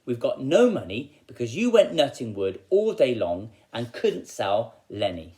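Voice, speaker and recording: Comic fairy-tale mother, played by a man: cross, bossy, hard-hearted, animated. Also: medium pitch, measured pace, a little hiss or hum. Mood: cold scheming.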